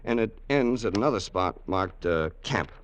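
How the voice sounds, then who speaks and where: Actor as a low-voiced hardboiled gangster sidekick. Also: male voice, animated, normal speed, lightly acted. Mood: hesitant, wary. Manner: colloquial, hardboiled. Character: plain-spoken, loyal, wary gangster sidekick narrating. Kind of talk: old-time radio crime drama narration and dialogue. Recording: noisy old radio recording.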